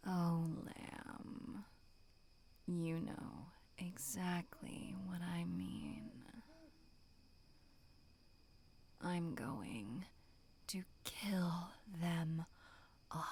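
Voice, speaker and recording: A woman voicing a bloodthirsty female demonic monster in a breathy, menacing whisper for a horror ASMR roleplay, sinister yet tender. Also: on the low side, slight background noise, full character voice. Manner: slow, drawn-out, menacing whispering.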